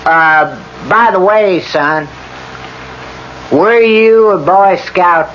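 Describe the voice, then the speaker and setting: An actor playing a dry, methodical lawyer who questions with pointed skepticism in a radio drama. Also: male voice, high-pitched, drawn-out words, fairly bright tone, exaggerated voice. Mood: measured skepticism. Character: dry, methodical male lawyer cross-examining a witness. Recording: muffled, noisy old radio broadcast.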